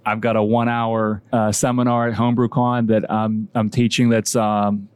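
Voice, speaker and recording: A man's voice: lowish voice, little pitch movement, normal speed, a little mellow, clean tone, no echo. A man, a homebrewer guest chatting casually on a podcast, rambling and self-deprecating with many restarts.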